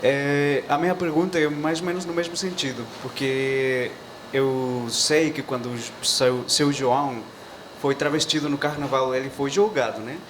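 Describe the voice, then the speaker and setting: Mexican-accented man, Spanish native, polite halting question, clear hiss behind. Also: mid-range voice, fairly even intonation. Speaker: audience member asking a question. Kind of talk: spontaneous question at a panel.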